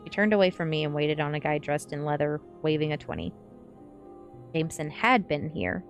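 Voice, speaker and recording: A woman with a low voice reads a novel aloud in an ASMR style. It is first-person story narration, set over faint background music. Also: animated, a little fast. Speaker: streamer reading a novel aloud.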